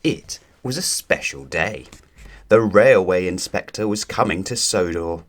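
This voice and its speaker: A man narrating a children's storybook aloud in an expressive, deliberate storytelling manner. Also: deep voice.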